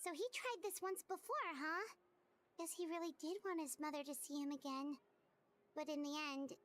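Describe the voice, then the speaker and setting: A woman's voice: sits high, moderate pace, a bit bright. A woman voicing a tiny, childlike fairy companion in a video game, perky and inquisitive. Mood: thoughtful. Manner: exclamatory, childlike. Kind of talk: scripted video game dialogue.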